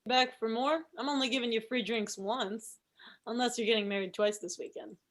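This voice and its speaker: An actress playing a brisk, cheeky but generous bartender in a comedy table read. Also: female voice, a little high, steady tone, lightly acted.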